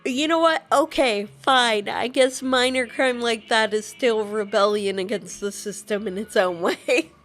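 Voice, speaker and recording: A woman's voice: a little high, unhurried, few pauses, a bit bright, soft background music. A woman playing a video game, reacting casually and informally in character. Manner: informal, sweary. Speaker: game player.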